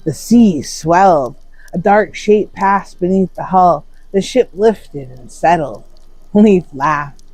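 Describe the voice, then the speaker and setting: A storyteller narrates a Norse saga in a solemn, theatrical manner. The pitch sits in a middle register, and pauses are placed deliberately to build suspense. The recording is dry and close, with no room sound around the voice. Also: a little mellow, breathy edge, no room sound.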